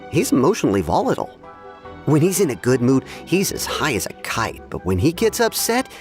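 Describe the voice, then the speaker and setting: Game townsman, male actor: watchful gossip, mid-range voice, very animated intonation, hedges as he explains. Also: mid-range voice, lightly acted. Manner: conversational, hesitant, hedging. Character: easygoing, watchful townsman passing on gossip, measured. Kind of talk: video game dialogue.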